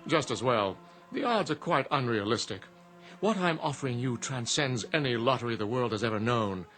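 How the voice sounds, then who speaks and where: Urbane, smug male genie, played by a man, formal and theatrical, lively swooping pitch, radio drama with faint music. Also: normal speed, close to an everyday voice. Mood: suave, teasing.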